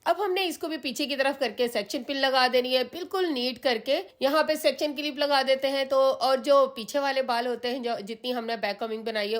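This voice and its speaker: A woman talks through a hair styling tutorial, walking viewers through each step and repeating the important ones. Her voice is hoarse from a sore throat and sits a little high. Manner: patient, instructive, repeats key steps. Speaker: hairstylist and salon channel host.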